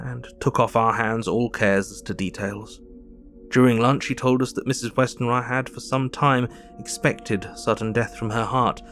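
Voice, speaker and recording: A man's voice: on the low side, lightly acted. Man acting a sombre doctor. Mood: reflective. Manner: measured, literate, melancholy. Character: sombre, reflective male Victorian doctor narrating his diary. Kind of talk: dramatised diary narration.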